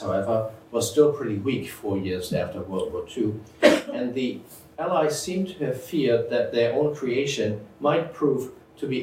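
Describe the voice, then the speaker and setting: A man's voice: medium pitch, darkish tone. Man lecturing from across a roomy hall. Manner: measured, methodical, with occasional throat-clearing. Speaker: lecturing historian. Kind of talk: academic lecture. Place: lecture room. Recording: roomy, from far off, faint hiss.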